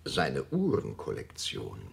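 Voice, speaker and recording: A fawning, self-important churchman in a radio drama. His voice is deep and creaky, lingering slowly over words with unctuous delight and swinging wildly in pitch. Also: huge pitch swings, heavily acted. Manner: effusive, unctuous, drawn-out savouring of words. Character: pompous, fawning high churchman, fond of courtly gossip. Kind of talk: radio play acting.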